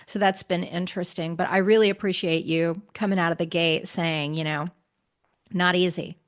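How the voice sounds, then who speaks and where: A woman's voice: lowish voice, steady tone, normal speed. A woman from the United States hosting a podcast interview, speaking reflectively with frequent fillers and agreeable backchannels.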